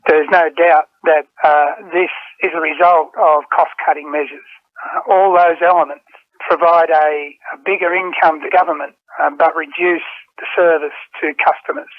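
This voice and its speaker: A man speaks as a union official on a narrow phone line. His voice is fairly high and quite monotone. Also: moderate pace, dry recording. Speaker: union secretary. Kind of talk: spoken statement to media.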